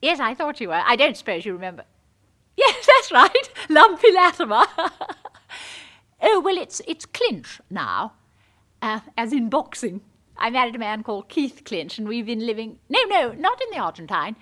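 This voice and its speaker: An actress playing a gushing, awkward middle-aged upper-class English wife, jolly and flustered, in a comic monologue. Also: female voice, fairly high pitch, very animated, moderate pace, fairly bright tone. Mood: awkward, effusive.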